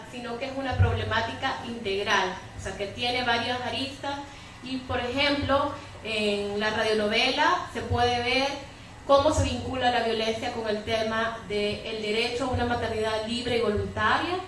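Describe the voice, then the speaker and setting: A woman's voice: on the high side, fairly bright tone. A woman speaking off the cuff on a panel, explaining with emphasis and frequent tag questions. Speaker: panelist, women's rights advocate. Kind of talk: extemporaneous panel commentary. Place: public debate room with audience. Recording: very echoey hall, distant mic, faint noise.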